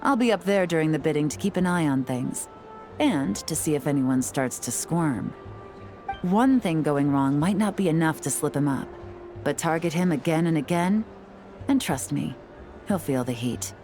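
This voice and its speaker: A composed female spy in a video game, measured and sure of herself. Her voice sits low for a woman. Soft game music plays behind her. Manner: calm, measured, self-assured. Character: cool, composed female spy and tea house owner. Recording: game audio with faint music.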